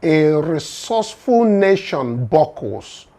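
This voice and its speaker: A man's voice: a little high, sing-song, slow pace, warm tone. A man hosting an online discussion, hesitant, with many fillers as he hands over to guests. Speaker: host.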